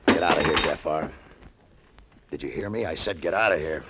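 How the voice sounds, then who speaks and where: Deep, hardboiled American cargo pilot. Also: male voice, moderate pace, heavy background noise. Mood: hostile. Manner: tough, clipped, hardboiled. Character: hard, hot-tempered, cynical cargo pilot, male. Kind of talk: old-time radio drama acting, narrating and dialogue. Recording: vintage radio drama with loud music.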